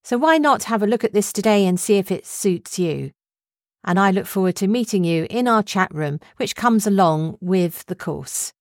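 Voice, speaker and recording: A woman's voice: medium pitch, animated, normal speed. A woman speaking as a podcast host, introducing and interviewing in an articulate, explanatory way.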